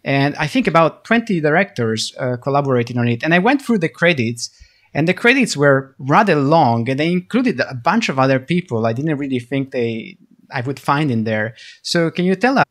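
A man's voice: a little high, sing-song, normal speed. A man with an Italian accent hosting a video-call interview, laughing often and teasing his guest lightly. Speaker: host.

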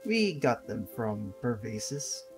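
A woman giving wry, relaxed gameplay commentary and reading dialogue choices aloud. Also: rumbling low, very slow. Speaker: gamer, video creator. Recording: game music behind voice.

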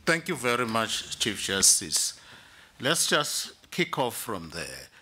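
A man's voice: medium pitch, sing-song, slow pace, bright, forceful tone, slight background noise. Direct man questioning. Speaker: interview panel commissioner. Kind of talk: formal interview questioning.

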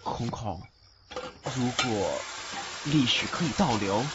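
A man as a lively lovestruck college boy. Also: mid-range voice, slow. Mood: earnest, wistful. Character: lovestruck, cheeky young male college student. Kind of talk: Chinese audio drama acting. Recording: muffled lo-fi, faint noise.

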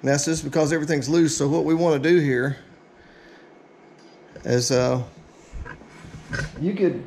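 Higher-voiced folksy man, echoey room. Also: fairly even intonation, unhurried, darkish tone. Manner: folksy, informal, muttering to himself while working. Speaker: DIY vlogger. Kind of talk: casual hands-on how-to chat to camera. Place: indoor home garage workshop. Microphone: action camera, on tripod or handheld, varying distance. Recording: roomy echo, clear noise, handling clicks.

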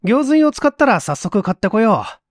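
Storybook master craftsman, voiced by a male reader: casual, bossy, old-fashioned, slightly high, dry sound. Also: big pitch swings, normal speed, no echo. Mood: casual, brisk.

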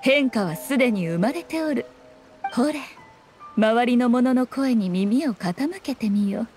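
Haughty, high-voiced fox-spirit lady. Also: lively intonation, unhurried, darkish tone, faint music behind. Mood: knowing. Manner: archaic, haughty, teasing speech. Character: sly, regal fox-spirit shrine maiden, elegant, self-assured. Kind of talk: anime game voice acting.

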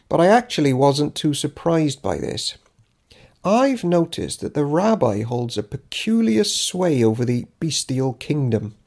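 A man's voice: on the high side, a little mellow, full character voice. A man reading an audiobook as Judas Iscariot, a self-important, grudging disciple keeping a diary, in a dry, theatrical, pompous manner. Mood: smug, musing. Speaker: author reading own audiobook. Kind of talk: dramatic audiobook narration of a diary.

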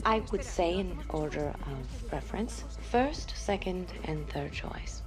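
An actress playing a brisk, image-focused sports agent with a measured, managerial manner in a video game drama. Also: female voice, fairly low pitch, expressive, over music. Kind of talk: naturalistic video game cutscene acting.

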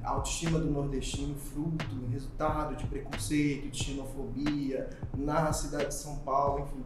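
A man's voice: mid-range voice, flat delivery, normal speed, noisy background. A man in his thirties with a Pernambuco accent, a press officer chatting animatedly as an interview guest and laughing often. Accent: Pernambuco Brazilian Portuguese. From Pernambuco, Brazil. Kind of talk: casual interview chat. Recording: very echoey room with music.

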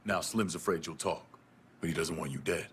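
A man's voice: sits low, brisk, a bit bright, slight background noise. An actor playing a tough, blunt young male veteran turned vigilante in a video game. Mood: cool, blunt. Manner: terse, blunt. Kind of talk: video game cutscene acting.

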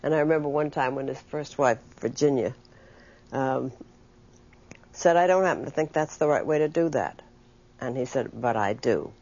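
A man's voice: medium pitch, moderate pace, long pauses, a little mellow. An older man telling an anecdote from his past in an interview. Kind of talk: anecdotal reminiscence. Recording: dull, lo-fi interview audio.

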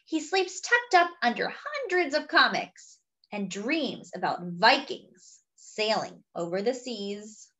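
Animated, high-voiced woman reading to children. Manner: exaggerated, drawn-out delivery addressed to young children. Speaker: storyteller reading a picture book aloud to children. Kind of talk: expressive children's read-aloud with chatty asides.

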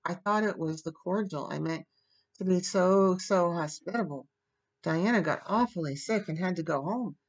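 A woman's voice: lowish voice, moderate pace. A woman voicing an earnest, dramatic young orphan girl in a storybook, imploring and effusive. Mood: woeful.

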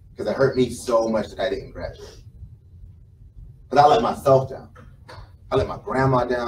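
A man's voice: medium pitch, a little mellow. A man in his thirties with an American accent, telling his story conversationally as a motivational speaker, repeating phrases for emphasis. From South Carolina, United States. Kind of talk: informal motivational speech to a live audience. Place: indoor conference room with a small stage. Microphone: camera mic from the audience, several meters away. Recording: roomy, distant mic, some background noise.

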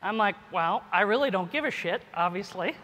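A woman's voice: medium pitch, lively intonation, a little fast, background noise. A woman giving a conference keynote as a scientist and teacher, informal, often adding "right?" and "you know". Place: large conference hall. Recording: echoey hall, long reverb.

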